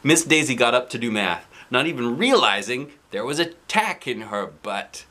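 A man in his forties reading a children's book aloud in an expressive storytelling style, with playful asides. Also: mid-range voice, moderate pace.

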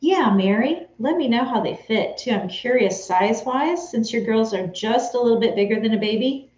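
A woman's voice: on the high side, expressive, moderate pace, a little mellow. A woman from Texas in her forties, chatting casually with her live viewers by name while she sews at home. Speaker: craft vlogger answering live chat. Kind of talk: casual live-stream chat while sewing. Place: home sewing room, indoors. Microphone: camera on a tripod, a few feet away. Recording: roomy, slightly dull home-camera sound.

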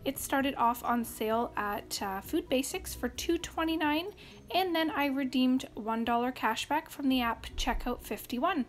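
A woman from Canada narrating a grocery haul as a voice-over, listing prices and savings in a brisk, upbeat way. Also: high-pitched. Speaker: couponing channel host. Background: faint background music.

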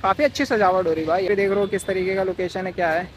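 A young man with a high, lively voice talks straight into his handheld phone. He chats casually, teasing his friend with street slang. A thick crowd murmurs all around him. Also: fast, poor audio. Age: young adult. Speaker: vlogger. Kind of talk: casual handheld travel vlog. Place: outdoors in city streets and busy markets. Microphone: phone at arm's length. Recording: phone recording with loud crowd. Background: crowd noise.

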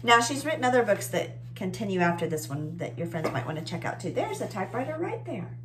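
A woman's voice: mid-range voice, very animated, fast. A woman in her forties with an American accent, reading a picture book aloud to children and explaining things simply with questions. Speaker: children's story time host. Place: small indoor room with bookshelves.

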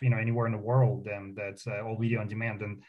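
A man's voice: fairly low pitch, little pitch movement, fast talker, lo-fi sound. A middle-aged man from Russia with a Russian accent, a company founder chatting on a radio show, with many fillers and restarts. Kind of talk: casual radio interview. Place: radio studio.

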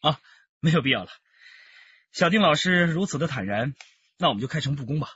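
An actor playing a frank, sardonic senior police detective in a radio drama. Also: male voice, a little high, animated, brisk, a bit bright, slightly rough, highs cut off, heavily acted. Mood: businesslike.

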